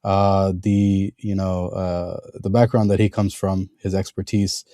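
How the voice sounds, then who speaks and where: A male podcast host introducing his guest in a conversational way, halting often with fillers and restarts. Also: sits low, little pitch movement, dark tone, no room sound, studio quality.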